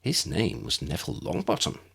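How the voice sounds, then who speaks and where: A man voicing a kindly, excitable little old wizard professor with a genteel, precise, avuncular manner. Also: extremely low pitch, normal speed, creaky, slightly rough, heavily acted. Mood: reassuring.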